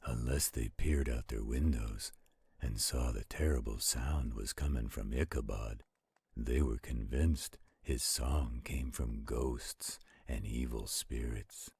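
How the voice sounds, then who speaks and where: Very deep, gravelly gentle werewolf. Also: male voice, no echo, full character voice. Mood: soothing storytelling. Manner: slow, soothing, patient. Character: gentle protective adult male werewolf reading aloud. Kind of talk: roleplay audio storytelling.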